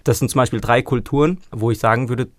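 A man's voice: mid-range voice, steady tone, fast, no room sound. A man in a band, giving reflective interview answers and searching for words with frequent restarts. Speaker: band member.

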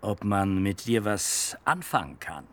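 A man's voice: fairly low pitch, big pitch swings, a little slow, fairly bright tone. An actor playing a coolly controlled, menacing man of authority in a radio crime drama, terse and commanding.

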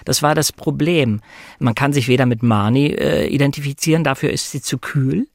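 A man's voice: mid-range voice, moderate pace, dry recording, clean studio sound. A man talking reflectively and conversationally about film and novels, explaining his analysis as a guest in a discussion.

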